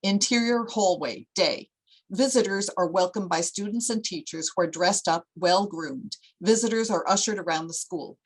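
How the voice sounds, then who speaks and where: A woman's voice: mid-range voice, moderate pace, low-quality recording. A woman narrating a screenplay at a table read, reading out scene headings and stage directions.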